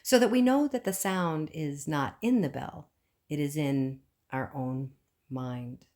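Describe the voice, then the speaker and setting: A woman reading a book aloud as scripted narration, with measured phrasing and frequent pauses. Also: low-pitched, a little mellow. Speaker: audiobook reader.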